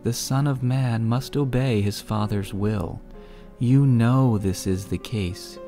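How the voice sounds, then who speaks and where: A man doing a calm, gentle teacher. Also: medium pitch, music in the background. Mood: tender. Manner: soft, measured, slow.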